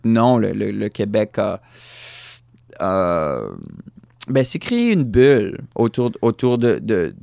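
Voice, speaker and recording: A man's voice: fairly low pitch, creaky, darkish tone, no echo, clean studio sound. A young man with a Fransaskois French accent shaped by English, talking through an interview in a hesitant way, with many restarts and stray English words. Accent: Fransaskois French, influenced by English. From Saskatchewan, Canada. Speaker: guest musician. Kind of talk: casual interview chat.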